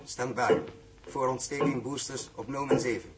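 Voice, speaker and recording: An actor as a male spaceship pilot in a 1960s radio serial. He is terse, authoritative and intense at a medium pitch. Loud engine-like noise fills the old recording. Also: fairly even intonation, normal speed, close to an everyday voice. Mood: terse, focused. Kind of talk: 1960s Dutch science fiction radio drama.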